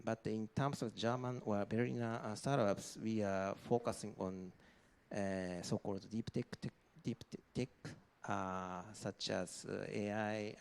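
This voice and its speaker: A man from Japan, a native Japanese speaker, talking as a panelist on a conference panel in a halting way with many fillers. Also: on the low side, gravelly, smooth.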